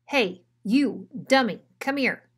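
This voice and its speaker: A woman's voice: fairly high pitch, slow, husky. A woman voicing a big ugly male Rottweiler in a children's story, blunt and dramatic in manner. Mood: menacing. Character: big ugly bullying male Rottweiler, gruff, then self-pitying.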